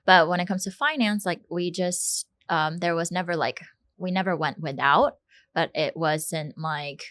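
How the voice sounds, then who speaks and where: A woman's voice: lowish voice, measured pace, a bit bright, no room sound. A young woman from South Korea, co-hosting a podcast, telling a personal story earnestly with many fillers and restarts. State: tearful, fighting back emotion. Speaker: podcast co-host. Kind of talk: casual personal conversation.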